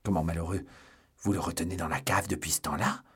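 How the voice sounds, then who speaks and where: A man's voice: deep voice, steady tone, fairly quick, a bit bright, slightly rough, heavily acted. A man voicing an eager, loyal young swordsman, earnest and commanding, in an adventure story. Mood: alarmed.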